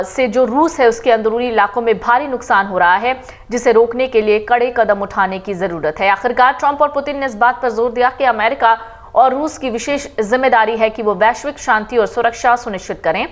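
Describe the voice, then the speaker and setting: A woman reading a scripted news report in a steady, formal manner. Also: on the high side, brisk. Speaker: news reader.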